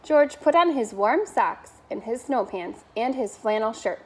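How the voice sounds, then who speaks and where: A woman's voice: fairly high pitch, animated, a little slow, breathy edge, rough recording. A woman reading a children's bedtime story aloud as a member of school staff.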